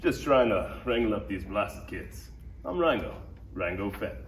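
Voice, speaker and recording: An echoing room with faint noise carries a man acting an armored bounty hunter father in a sci-fi parody. His low voice is cool and boastful, a father worn thin by unruly kids, and it moves up and down with lively range. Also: measured pace. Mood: easygoing, proud. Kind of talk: live table read of parody script. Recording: echoey room, distant mic, faint noise.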